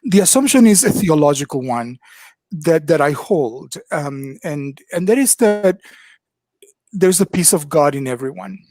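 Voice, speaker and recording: An older man from the Republic, speaking thoughtfully as a panelist on a video call from his kitchen, with many pauses and ums. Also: on the high side, expressive, a little mellow, dry recording. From Dominican Republic. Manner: thoughtful, frequent ums, measured. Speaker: panelist, minister of worship arts. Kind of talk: reflective panel talk. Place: home kitchen. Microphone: headset on video call.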